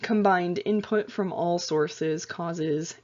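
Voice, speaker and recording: A woman instructor talking through lecture slides in a casual, chatty way with lots of fillers and self-corrections, her voice getting tired and hoarse. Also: fairly low pitch, takes it slowly, a little mellow, dull audio. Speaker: anatomy and physiology instructor.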